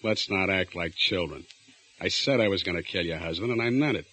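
A man's voice: sits low, a little fast, sharp tone, slight background noise. An actor playing a menacing escaped male convict in a 1940s radio drama, cold and insinuating. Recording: old 1940s radio broadcast with music.

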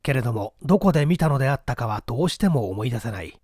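A man's voice: medium pitch, lively intonation, quick pace, warm tone, no room sound, studio quality. A man reading an old mystery novel aloud as dramatic, theatrical narration. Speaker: audiobook reader.

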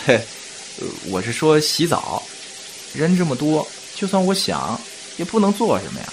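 A man's voice: fairly high pitch, wildly swooping pitch, a little slow, slight background noise, full character voice. A man playing a devoted, eager young boyfriend in an audio drama, with a coaxing, doting manner. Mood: teasing, eager.

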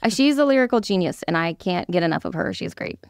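A woman's voice: on the low side, expressive, fast, vocal fry, smooth, no room sound. A woman guest musician talking casually and eagerly in a radio studio interview.

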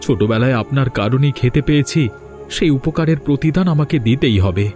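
An earnest young cartoon hero, acted by a man, speaks with righteous, dramatic emphasis. His voice sits at a middling male pitch, with music playing behind it. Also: expressive, fairly quick, warm tone, close to an everyday voice. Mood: indignant, determined. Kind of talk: Bengali moral-tale cartoon dubbing.

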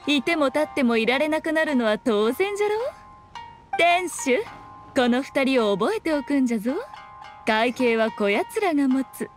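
High, lilting, haughty fox spirit. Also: female voice, lively intonation, normal speed, soft background music. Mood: smug. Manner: archaic noble speech, teasing, imperious. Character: sly, haughty fox-spirit shrine maiden, elegant and teasing. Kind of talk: Japanese anime game voice acting.

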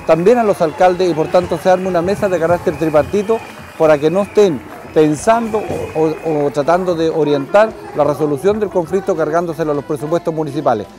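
A man's voice: on the high side, fairly quick. A middle-aged Chilean man, a mayor, giving an interview statement outdoors. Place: outdoors at a rally. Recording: music behind, outdoor rally.

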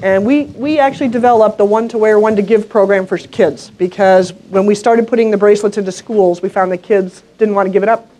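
A woman's voice: mid-range voice, fairly even intonation, fairly quick, hardly any pauses, dark tone, low-quality recording. Middle aged lady at a podium, chatty plain talk with ums, mid voice. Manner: plain, conversational, with fillers. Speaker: conference speaker. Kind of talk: practical explanation to an audience. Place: conference room. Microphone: podium mic.

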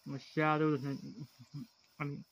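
Slow, halting man with a flat delivery. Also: fairly high pitch, rough recording. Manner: hesitant, fragmented, long pauses between phrases. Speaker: amateur video host showing a handheld game. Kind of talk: halting casual game showcase commentary.

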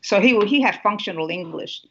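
A woman's voice: mid-range voice, expressive, fairly quick, slightly rough. A woman in her seventies from Puerto Rico with a Puerto Rican accent, an author talking unhurriedly about her life on a video call from home. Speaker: author and guest. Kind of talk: conversational interview and book reading. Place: home room with a lamp. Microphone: laptop webcam video call. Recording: muffled video call audio.

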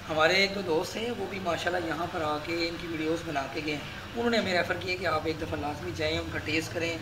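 A man's voice: fairly high pitch, steady tone, fast talker. A man in his thirties with a Pakistani accent, chatting casually as a guest on a vlog. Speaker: guest, video channel creator. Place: restaurant seating area with turf wall. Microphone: camera a few feet away. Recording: echoey, noisy eatery seating area.

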